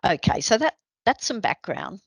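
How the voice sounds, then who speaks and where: A woman's voice: mid-range voice, huge pitch swings, moderate pace, creaky, breathy edge, dry recording, clean studio sound. A woman giving a webinar presentation on a video call, explaining things in a practical, conversational way with frequent fillers. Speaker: presenter, addiction medicine specialist.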